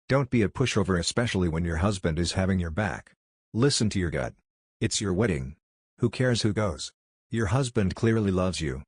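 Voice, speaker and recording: A man narrating forum posts and comments in a steady, even reading voice. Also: low voice, dry recording, studio quality. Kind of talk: scripted narration.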